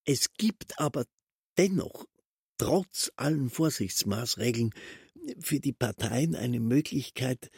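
Very husky, pedantic male official. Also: medium pitch, darkish tone, dry recording, studio quality, full character voice. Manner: pedantic, deliberate, circling explanations. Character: long-winded, musing male official, a secretary, confiding rules. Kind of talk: German literary radio drama acting.

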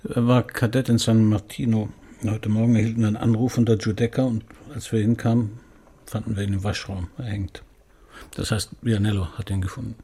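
An actor playing a weary, middle-aged police commissioner in a naturalistic radio drama, terse and sceptical, with a gravelly, husky voice. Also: male voice, fairly low pitch, flat delivery, fairly quick, mellow. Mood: weary, subdued. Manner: terse, subdued answers.

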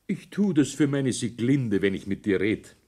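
Man acting a husky, pushy Bavarian businessman. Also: mid-range voice, animated, moderate pace, dark tone, close to an everyday voice. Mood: insistent, defensive. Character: pushy, calculating older businessman, insistent father. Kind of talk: naturalistic radio drama acting.

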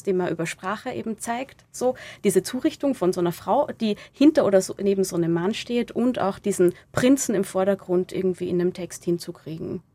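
A woman with a soft-edged, mellow voice at a medium pitch answers interview questions as a writer. She gropes for phrasing and repeats words as she thinks aloud. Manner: hesitant, searching, repeats words mid-thought. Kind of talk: interview conversation.

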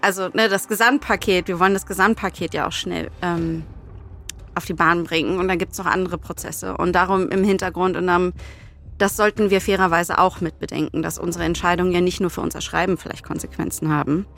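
A woman's voice: lowish voice, steady tone, brisk, creaky, fairly bright tone, slight background noise. A woman who works as a producer and director, talking casually and spontaneously in a working discussion.

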